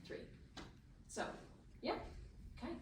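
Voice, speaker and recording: A woman speaking informally as the host of a live event, introducing it to an audience. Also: slow pace. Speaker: board member and event host. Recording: roomy, distant mic with faint noise.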